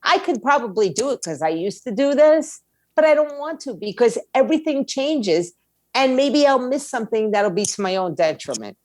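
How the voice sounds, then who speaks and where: A woman's voice: on the high side, big pitch swings, normal speed, a little mellow, no echo. A woman giving blunt, opinionated conversational answers as an interview guest, in short emphatic statements. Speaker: guest, music label owner and attorney.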